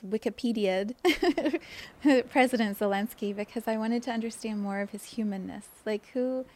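Woman in her 50s musing out loud, a bit gravelly, lots of ums and trailing off. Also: medium pitch. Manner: earnest, meandering, frequent fillers and restarts, soft laughs. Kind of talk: reflective solo talk.

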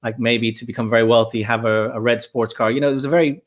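A man, a podcast guest talking casually and thoughtfully, pausing often. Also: medium pitch, fairly even intonation. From Ireland. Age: 50s. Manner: thoughtful, halting, with frequent pauses and fillers. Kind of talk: casual interview talk.

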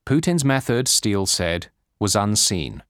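A man reading a scripted nonfiction audiobook as narrator, with measured, deliberate phrasing. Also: lowish voice, sing-song, fairly bright tone, no echo, clean studio sound.

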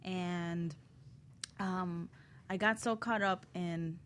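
A woman telling a personal story on a talk show, acting out her own past thoughts in a lively way. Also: lowish voice, drawn-out words. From Miami. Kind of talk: casual storytelling.

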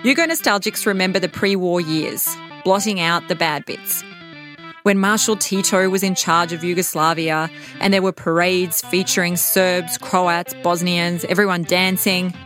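A woman in her thirties, raised in Serbia, calmly telling her own story in a reflective, wry tone. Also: fairly low pitch, moderate pace. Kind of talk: scripted personal narration. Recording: music bed underneath.

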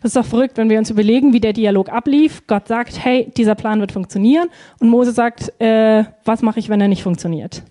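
A woman's voice: a little high, fairly quick, hardly any pauses, warm tone. A woman interpreting a sermon live, phrase by phrase, hesitating and feeling for words with frequent ums.

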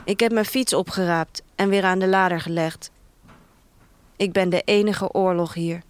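A woman's voice: lowish voice, fairly even intonation, moderate pace, clear, resonant tone, lightly acted. An actress playing a troubled woman who tells a bleak future tale in an introspective, dramatic monologue for an audio drama. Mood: anguished, intense. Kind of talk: literary first-person audio drama monologue.

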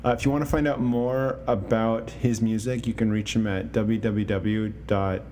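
A man's voice: fairly low pitch, normal speed, slight background noise. A man hosting a podcast, talking casually with lots of filler words and asking easygoing interview questions. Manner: relaxed, many filler words. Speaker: podcast host.

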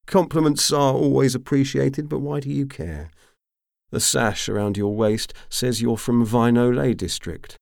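A man's voice: mid-range voice, fairly even intonation, measured pace, vocal fry, darkish tone, no room sound, clean studio sound, voice pushed up. Drawling, drunken mercenary commander played by a man. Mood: wary. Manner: drawling, rambling, tipsy. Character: drunken, grinning male mercenary commander, roguish boaster. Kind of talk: audiobook character acting.

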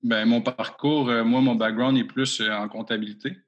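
A man's voice: medium pitch, steady tone, normal speed, muffled recording. A man with a Québécois accent answering interview questions about his company, hesitant and full of fillers, recorded from home. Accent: Québécois French. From Abitibi-Témiscamingue, Quebec. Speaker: mining company executive guest.

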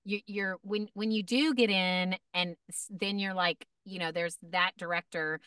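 A woman voice actor chatting as a podcast guest, telling stories in an animated way with many restarts and fillers. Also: medium pitch, unhurried, smooth, no echo, clean studio sound, recorded from a distance. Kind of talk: casual podcast storytelling.

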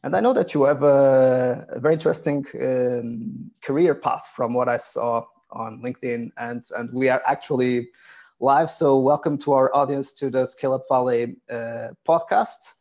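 Podcast host guy, mid-pitched voice, kinda dull lo-fi video call sound, lots of ums. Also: steady tone, a little mellow. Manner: halting, many ums and restarts. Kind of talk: hosting a live video podcast interview.

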